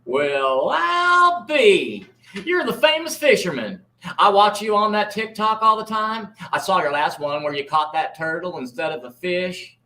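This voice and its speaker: A man acts out a star-struck small-town fellow. His voice sits high and bright. He gushes and rambles in a folksy, excitable way, as in a live comedy table read. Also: a little slow, exaggerated voice. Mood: excited, star-struck.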